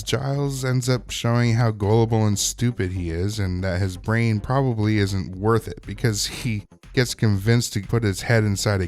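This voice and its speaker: Low-voiced man recapping a TV episode. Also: a little mellow. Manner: wry, opinionated. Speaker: host of a TV episode recap show. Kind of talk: scripted recap and review narration.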